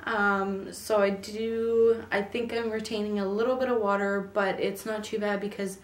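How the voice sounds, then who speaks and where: A woman's voice: medium pitch, level tone, moderate pace, slight background noise. A woman in her twenties with a raw, sore throat from a cold, chatting casually in a pregnancy update vlog with many ums. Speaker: vlogger. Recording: echoey room, mic at a distance.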